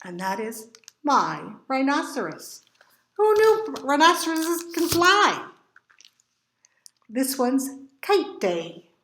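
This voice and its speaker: A woman storytime reader with a high, very animated voice. She reads picture books to children slowly, drawing out words for drama. The recording has an echoey room sound. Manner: dramatic emphasis with drawn-out words. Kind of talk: expressive picture book read-aloud for children.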